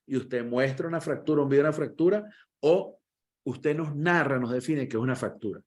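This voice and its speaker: A man, a first aid instructor, closing an online class over a video call in a lively, encouraging way and repeating himself often. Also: on the high side, brisk.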